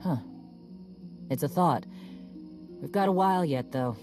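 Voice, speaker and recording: Tough warrior woman boss in a game, gruff n blunt, bossy, music going behind. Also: low voice, measured pace. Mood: blunt, commanding. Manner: blunt, terse, authoritative. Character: gruff, commanding female warrior clan leader. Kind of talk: video game voice acting.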